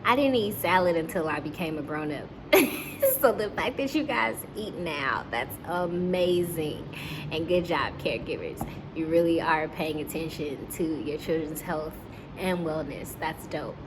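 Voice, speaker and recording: A woman's voice: sits low, a little slow. Encouraging woman storytime teacher, noisy recording. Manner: animated, encouraging, addresses listeners as friends. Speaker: teacher and children's storytime host. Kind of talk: read-aloud storytime with asides to young children and caregivers.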